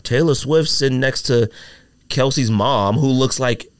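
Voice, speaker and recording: A man hosting a casual podcast chat, telling stories with lots of fillers in an animated, drawn-out way. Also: medium pitch, clear, resonant tone, no room sound, studio quality. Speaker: podcast host.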